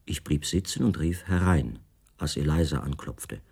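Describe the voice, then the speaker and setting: Very low-voiced, composed male captive narrator. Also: expressive, normal speed, vocal fry, a little mellow, breathy edge, exaggerated voice. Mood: calm, observant. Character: captive male writer calmly recounting his confinement. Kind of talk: measured literary first-person narration in radio play.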